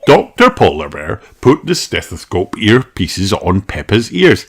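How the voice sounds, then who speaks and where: Guy reading a kids picture book slowly, super expressive, bit of music behind. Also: fairly low pitch. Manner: expressive, deliberate. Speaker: narrator. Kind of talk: children's storybook reading.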